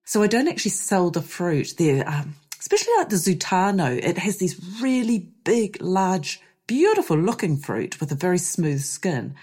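A woman hosting a podcast, hoarse from losing her voice, explaining things in a lively, chatty way. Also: sits low. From New Zealand. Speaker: podcast host. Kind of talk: solo podcast chat.

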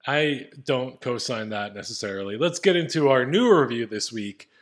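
A man with a deep voice co-hosts a casual comedy podcast. He talks in a laid-back way and reads listener reviews aloud. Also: expressive, moderate pace. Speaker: podcast co-host.

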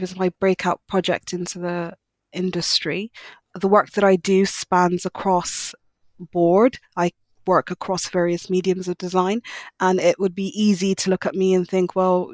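A woman speaking as a designer in an interview, thoughtful and measured in how she phrases things. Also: on the low side, dry recording. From London, UK. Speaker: designer, podcast guest.

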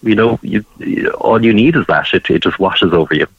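A man talking in a measured, descriptive way as an art gallery guest in a radio interview. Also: lowish voice, breathy edge. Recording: dull band-limited, roomy remote radio feed.

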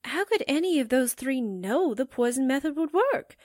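A woman playing an eager, excitable, slangy newspaperwoman sidekick in a 1940s-style radio drama. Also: high voice, moderate pace, no echo, clean studio sound. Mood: puzzled. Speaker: actor in an amateur radio drama re-creation.

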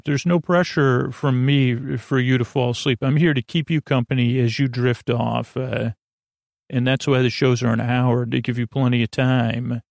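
Gravelly-voiced man rambling at bedtime. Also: medium pitch, a little mellow, no echo, studio quality. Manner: meandering, goofy, filler-heavy. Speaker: sleep podcast host.

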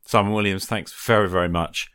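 Deep-voiced British man hosting a podcast. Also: steady tone, fairly quick, noisy background. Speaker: podcast host. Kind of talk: casual interview chat and sign-off.

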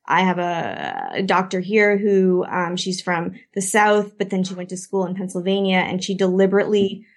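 A woman's voice: lowish voice, expressive, moderate pace. A woman with a Canadian accent hosting a podcast discussion, chatty and prone to long tangents. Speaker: podcast host.

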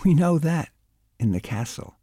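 A man voicing a knowing, gossipy Dublin pub regular who explains things matter-of-factly, with a husky, lively voice. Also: on the high side, normal speed, no room sound, studio quality, pitched above the performer's own voice.

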